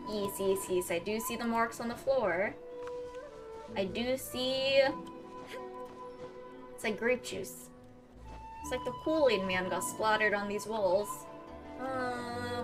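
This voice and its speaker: A woman's voice: on the high side, low-quality recording. A woman streamer giving casual, slangy, reactive commentary over a video game. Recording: game music behind.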